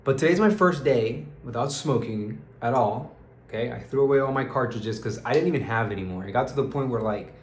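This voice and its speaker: A man's voice: mid-range voice, fairly quick, echoey room, a little hiss or hum. A young man from Peru with an American accent, talking through a casual diary vlog in a rambling, slang-heavy way and sounding tired. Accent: American English. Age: young adult. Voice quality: rambling, restarts often, uses slang like dude and bro. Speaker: vlogger.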